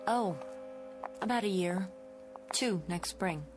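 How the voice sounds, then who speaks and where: An actress playing an earnest, hesitant young woman schoolteacher in a radio drama, gentle and halting in her delivery. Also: mid-range voice, over music, lightly acted, rough recording. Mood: reticent, earnest.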